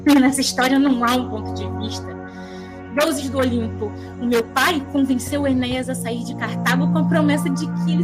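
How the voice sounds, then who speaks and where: A woman's voice: sits high, close to an everyday voice. A woman playing a wronged, protective goddess who denounces her father in solemn, theatrical mythological audio drama. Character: wronged goddess daughter, righteous, accusing, protective mother. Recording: loud music over the voice. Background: loud music.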